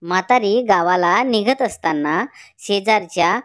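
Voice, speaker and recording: Slow-paced woman narrating a children's story. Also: mid-range voice.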